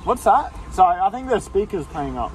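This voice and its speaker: A man's voice: high-pitched, sing-song, moderate pace. A young man with an Australian accent chatting casually and ordering at a drive-through, recorded on a phone in a car. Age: young adult. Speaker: vlogger and prankster. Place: inside a parked or idling car at night. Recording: noisy car, handheld phone audio.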